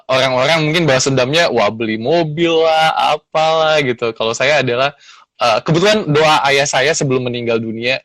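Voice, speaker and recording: A man's voice: medium pitch, a bit bright, no echo. A man in his thirties, a lawyer guest in a casual interview, speaking earnestly and reflectively with many fillers. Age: 30s.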